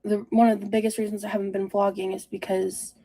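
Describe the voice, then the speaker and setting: A woman vlogger talking confessionally about herself in a halting, introspective way. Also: mid-range voice, level tone, dark tone, husky, dry recording. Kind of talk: confessional personal talk.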